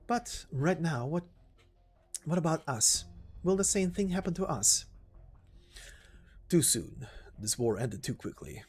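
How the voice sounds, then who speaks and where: A man plays a weary mercenary leader in an amateur game drama, sentimental and brooding. The delivery halts earnestly yet swings in pitch, with music behind it. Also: lively intonation, measured pace, long pauses, darkish tone, slightly rough, full character voice. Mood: anxious. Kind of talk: amateur game story voice acting.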